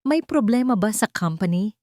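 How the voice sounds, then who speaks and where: A woman's voice: medium pitch, expressive, moderate pace, dark tone, dry recording, pitched above the performer's own voice, natural voice. A woman storyteller puts on a Filipina girl of sixteen for a dramatic audio story. She is a pampered, haughty rich daughter, recorded dry and close. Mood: concerned. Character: spoiled haughty sixteen-year-old rich girl, fond of father.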